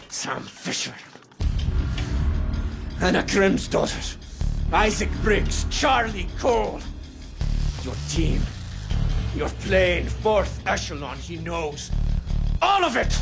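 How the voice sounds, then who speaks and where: An actor playing a broken captive in a video game, gravelly and halting, begging in anguish. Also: male voice, fairly high pitch, heavily acted. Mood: desperate, defiant. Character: broken captive man begging for a quick death. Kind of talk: video game cutscene acting. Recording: loud music behind the voice.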